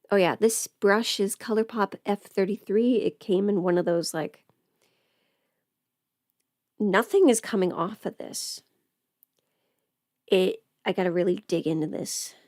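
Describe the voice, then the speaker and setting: A woman playing a chatty, playful streamer who rambles casually through her makeup routine, often slipping into hushed asides. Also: medium pitch, unhurried, pauses often, no room sound, clean studio sound, lightly acted. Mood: focused, chatty. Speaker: livestreamer doing a get-ready-with-me makeup stream. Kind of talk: casual livestream chat, scripted audio roleplay.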